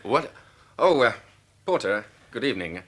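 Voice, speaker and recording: An actor playing a wary, sardonic fugitive in a radio drama, smooth and dryly ironic. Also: male voice, mid-range voice, sing-song, moderate pace. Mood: guarded. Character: suave, wary male fugitive, sardonic under pressure. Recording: faintly noisy radio drama, vehicle sounds.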